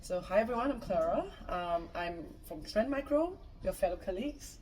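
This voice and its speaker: Woman from Singapore, mellow and distant. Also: medium pitch, expressive, normal speed, a little hiss or hum. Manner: upbeat, friendly, frequent fillers. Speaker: guest. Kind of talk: casual interview chat.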